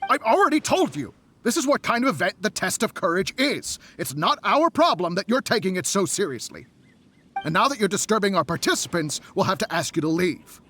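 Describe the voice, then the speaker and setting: A man's voice: sits high, big pitch swings, a little fast, bright, forceful tone, exaggerated voice. A man voicing an exasperated, officious event organizer in a video game, brusque and dismissive. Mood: annoyed.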